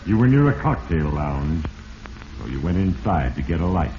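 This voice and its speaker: An actor playing Fate as a sinister, all-knowing narrator with an American accent, in measured 1940s radio mystery style. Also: male voice, low voice, big pitch swings, dark tone. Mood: grave, knowing. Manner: ominous, measured, addressing the character as "you". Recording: muffled, noisy vintage radio recording.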